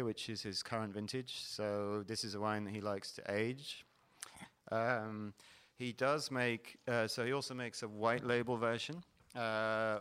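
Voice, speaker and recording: A man with a lowish voice talks through a wine tasting with steady, even intonation. His tone is smooth and resonant, and he describes each wine in a patient, explanatory manner. He sounds like a middle-aged host at a fair. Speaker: panel host. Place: event hall at a wine fair.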